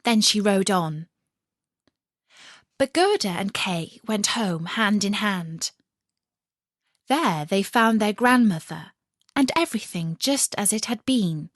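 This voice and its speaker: Lady reading a fairytale, slow n measured, expressive, medium pitch, dry recording. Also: no room sound. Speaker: storyteller. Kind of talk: scripted fairy-tale narration for listeners.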